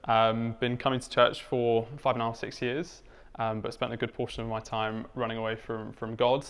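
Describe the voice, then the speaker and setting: A man's voice: medium pitch, little pitch movement, normal speed, clean tone. A man in his twenties, thoughtful and hesitant, speaking in a casual panel discussion. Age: 20s. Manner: thoughtful, hesitant, many fillers. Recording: hall reverb.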